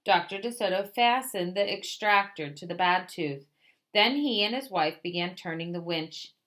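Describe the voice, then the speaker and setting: A woman reading a children's picture book aloud in an expressive storytelling way. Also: on the low side, normal speed.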